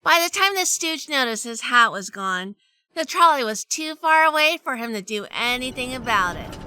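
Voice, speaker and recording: Noir radio drama: sardonic lady detective played by a woman, bright forward tone, very dry sound, hardboiled wit. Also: high voice, lively intonation, normal speed, smooth, dry recording, heavily acted, low-quality recording. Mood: wry, narrating. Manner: wry, dry-humored. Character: sardonic quick-witted 1940s female gumshoe, weary wife.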